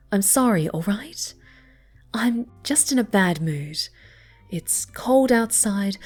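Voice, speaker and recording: A woman playing a snobby, pouty young cat girl with a soft side in an ASMR roleplay. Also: fairly low pitch, breathy edge. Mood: sulky. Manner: haughty, pouty, dismissive.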